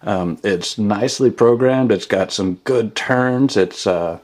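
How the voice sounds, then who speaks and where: A man with a fairly low voice talks through a hands-on product review. He sounds like the host of a hobby channel, casual and matter-of-fact in his delivery. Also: takes it slowly, darkish tone.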